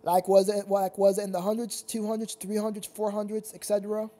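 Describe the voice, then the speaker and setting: A livestream host chatting casually with viewers in a halting, repetitive way, often addressing them as sir. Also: medium pitch, little pitch movement, dark tone.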